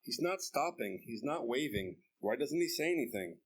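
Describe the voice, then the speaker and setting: Ezra, a man playing a skeptical, lively townsman. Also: mid-range voice, moderate pace, very noisy, natural voice. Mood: doubtful. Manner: doubting, questioning. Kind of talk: amateur church dramatic reading.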